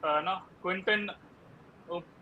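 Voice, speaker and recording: A South Indian man talking cricket on a casual panel, halting and often falling back on filler phrases. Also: high voice, dull audio, a crowd in the background. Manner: halting, repeats "you know".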